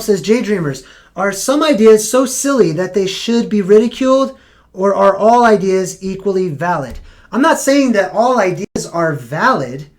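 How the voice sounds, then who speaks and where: Man with a fading voice, live streaming. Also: high-pitched. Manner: rambling, self-correcting, conversational. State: losing his voice. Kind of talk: casual live stream talk.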